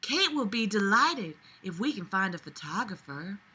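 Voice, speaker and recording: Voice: mid-range voice, sing-song, normal speed, pushed and bright, voice pushed up. A woman voicing a shy, flustered young female shop clerk from an audiobook-style novel reading.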